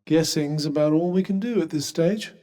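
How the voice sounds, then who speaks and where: A man's voice: on the high side, moderate pace, mellow, close to an everyday voice. Man voicing a sardonic, refined British magical cuff. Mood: wry, composed. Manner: dry, wry, sarcastic. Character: sardonic, haughty, erudite talking magical cuff. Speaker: voice actor in a fantasy video game. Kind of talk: scripted game companion dialogue.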